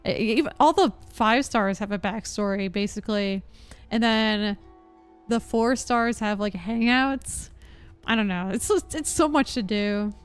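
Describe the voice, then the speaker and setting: A young woman game streamer chatting casually with her viewers in an expressive, meandering way. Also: mid-range voice, a little slow, smooth. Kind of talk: casual live-stream chat. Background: faint game music.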